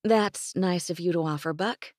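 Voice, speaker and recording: A woman's voice: on the low side, moderate pace, darkish tone, no room sound, clean studio sound, close to an everyday voice. A woman voicing a spirited young ranch woman with a teasing, bossy drawl, read in an audiobook. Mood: playful.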